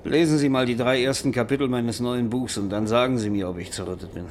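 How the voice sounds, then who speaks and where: An actor playing an ailing, proud, irritable older writer in a German radio drama, grumbling and self-mocking in a weary manner. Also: male voice, mid-range voice, steady tone, quick pace, lightly acted. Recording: noisy radio drama recording.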